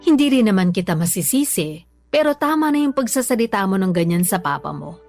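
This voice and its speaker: A woman's voice: medium pitch, sing-song, a little fast, gravelly, darkish tone. Long-suffering mother,, Filipino accent, mid-range, pleading concern, radio drama with music under. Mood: troubled. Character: worried, patient, long-suffering mother and wife.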